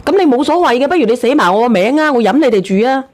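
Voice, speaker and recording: A woman's voice: a little high, animated, racing, a crowd in the background. A woman giving blunt, scolding advice as a call-in show host, full of rhetorical questions.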